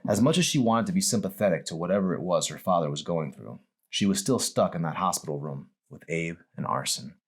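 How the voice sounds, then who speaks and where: Low-pitched man narrating a novel. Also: animated, a little fast, hardly any pauses, husky. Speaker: author reading own novel. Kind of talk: scripted audiobook narration.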